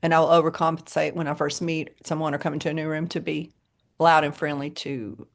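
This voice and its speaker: An American woman with a low voice co-hosting a podcast, chatting casually and often laughing. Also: flat delivery, brisk, vocal fry. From United States. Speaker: podcast co-host. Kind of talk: casual chat.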